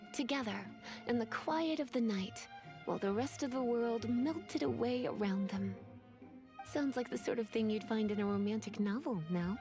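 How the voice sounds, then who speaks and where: Anime game charmer: playful, languid, coquettish young woman, a little high. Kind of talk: anime game dub acting. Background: music.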